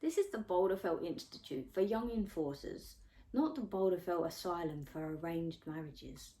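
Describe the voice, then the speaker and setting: A woman's voice: on the low side, animated, normal speed, mellow. A woman voicing a stubborn, earnest sixteen-year-old girl in a storybook, sulky and protesting. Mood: indignant. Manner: protesting, mumbling.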